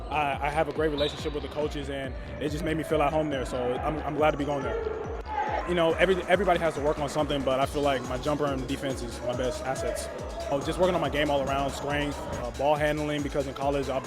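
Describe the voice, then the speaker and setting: A teenage boy, a high school basketball recruit, answering interview questions in a halting way with many restarts. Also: steady tone. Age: teens. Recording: loud music underneath.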